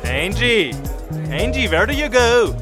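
An actor playing a controlling bar boss in a radio drama, condescending and quietly threatening. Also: male voice, sits high, big pitch swings, slow pace, music in the background, exaggerated voice. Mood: menacing. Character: controlling male bar boss, menacingly soft.

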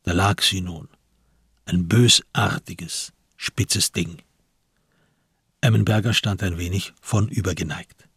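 A man narrating a literary crime story for a radio play in a husky voice, measured and grave. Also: low voice, fairly even intonation, a bit bright, no room sound, studio quality, heavily acted. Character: sober male storyteller of a crime tale.

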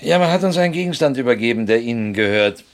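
A man's voice: medium pitch, lively intonation, close to an everyday voice. An actor playing a polite, methodical male police inspector in a vintage radio crime play. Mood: questioning. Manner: polite, measured, probing questions. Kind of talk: 1970s German radio drama acting.

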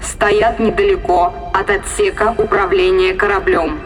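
An actress playing an impassive female ship's computer in a science fiction audio drama, giving formal, measured status reports. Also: medium pitch, steady tone, soft background music, natural voice.

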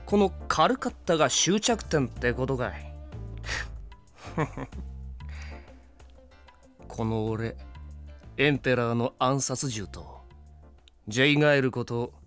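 A smooth, swaggering gunman for an audio drama. He takes his time with each line, boastful and theatrical, with faint music underneath. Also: medium pitch, animated, slow, pauses often, fairly bright tone. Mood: smug. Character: smooth, swaggering cowboy-like male hired gunman. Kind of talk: Japanese audio drama acting.

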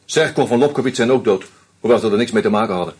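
An actor in a radio play voicing a shrewd, sardonic male investigator who lays out his case deliberately. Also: medium pitch, a little mellow, husky, close to an everyday voice. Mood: cool. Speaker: detective confronting a killer. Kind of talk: radio drama acting.

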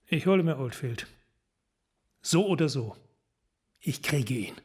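A man playing a dogged, stern police sergeant in a crime radio play, husky, terse and insistent. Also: medium pitch, expressive, a little slow, a little mellow. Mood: determined.

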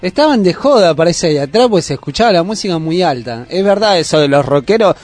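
An Argentine man works as a radio interviewer. His voice is noticeably high and his delivery conversational, with faint music behind him. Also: animated, quick pace, darkish tone. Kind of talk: casual radio chat.

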